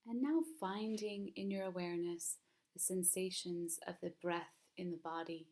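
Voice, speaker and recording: A woman with a slightly low voice leads a guided meditation. She speaks in a calm, soothing manner, leaving long, unhurried pauses between gentle instructions, as a mindfulness teacher would.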